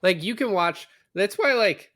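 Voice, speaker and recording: A man's voice: high-pitched, expressive, unhurried, sharp tone, no room sound, clean studio sound. A man talking casually about films as a podcast co-host, explaining things in a conversational way. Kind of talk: casual film discussion.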